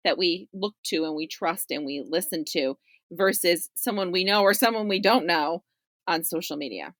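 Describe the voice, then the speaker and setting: A woman's voice: on the low side, a bit bright, dry recording, clean studio sound. A middle-aged woman talking as a podcast guest in a chatty, emphatic way, often checking in with "right?". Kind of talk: conversational discussion of parents' views.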